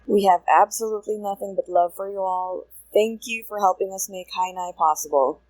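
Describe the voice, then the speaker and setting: A woman's voice: mid-range voice, fairly quick, a little mellow, no room sound, poor audio. A woman podcaster reading a friendly scripted outro in an upbeat, chatty way. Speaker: podcast co-creator reading credits.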